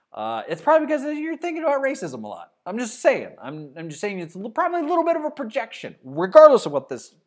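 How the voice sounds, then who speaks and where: A man from Arkansas with a mostly neutral Midwestern-sounding voice, hosting a livestream show, rambling and sarcastic as he reads chat comments. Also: a little high. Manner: rambling, sarcastic, trails off and restarts. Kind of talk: casual football talk, reading chat comments.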